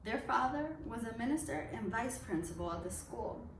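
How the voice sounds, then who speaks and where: A woman speaking as host of a children's reading series, addressing families and narrating a storybook in an expressive, carefully enunciated way. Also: a little high, normal speed. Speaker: club president hosting a children's reading series. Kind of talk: welcome speech and read-aloud storybook narration for children. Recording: roomy echo, distant mic, background noise.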